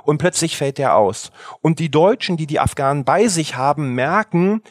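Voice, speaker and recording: A middle-aged man, a journalist, retelling events in an animated, conversational way with frequent restarts. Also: on the high side, normal speed, no echo, clean studio sound. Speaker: journalist and podcast guest. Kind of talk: conversational storytelling.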